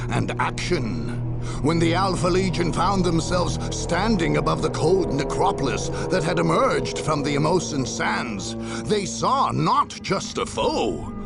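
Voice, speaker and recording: An actor narrating as a grandiose war chronicler in a dark sci-fi game, with a raspy, portentous, emphatic delivery. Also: male voice, fairly high pitch. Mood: grandiose, ominous. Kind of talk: dramatic scripted narration.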